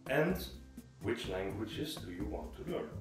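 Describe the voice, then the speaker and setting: A man's voice: fairly low pitch, very animated, measured pace. A man, casually asking questions from behind the camera. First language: Dutch. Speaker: off-camera interviewer. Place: living room, behind the camera. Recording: echoey room, prominent music. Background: music.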